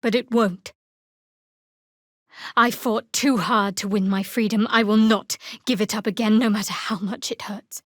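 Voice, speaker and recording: Guarded, sardonic young cleric played by an actress, close dry sound. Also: female voice, mid-range voice, steady tone, unhurried, dry recording, lightly acted. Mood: resolute. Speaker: game character. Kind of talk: video game voice acting.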